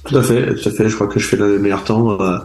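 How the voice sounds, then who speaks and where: A man's voice: on the low side, steady tone, quick pace, a little mellow, faint music behind. A young man, an endurance motorcycle racer, answering interview questions casually, with many fillers and restarts. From France, raised in Charente from age four. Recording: roomy, echoey sound.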